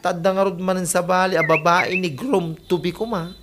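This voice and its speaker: A woman's voice: fairly low pitch, steady tone, fairly quick, lightly acted. A woman playing a gossipy, mocking middle-aged villager in a comedy radio drama, with an Ilocano accent and chiding manner. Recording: clear noise behind voice.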